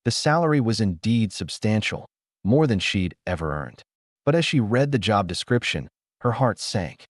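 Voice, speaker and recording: A man reading a scripted story aloud in a measured, emotionally present way. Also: fairly low pitch, big pitch swings, dry recording, studio quality. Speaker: narrator.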